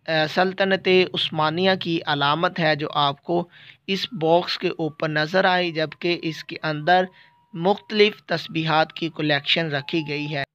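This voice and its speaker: Slow-talking man with a high, smooth voice. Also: a bit bright, dry recording, muffled recording. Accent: Pakistani Urdu. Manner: slow, measured guide-like explaining. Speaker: travel vlogger. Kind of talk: walking tour narration. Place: small shops in a bazaar, indoors and out.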